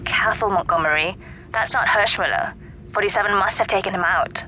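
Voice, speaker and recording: A woman's voice: mid-range voice, brisk, a little hiss or hum, lightly acted, rough recording. A woman playing a stern, commanding agency handler in a game, giving orders with urgent authority. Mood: cautioning. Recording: loud music behind.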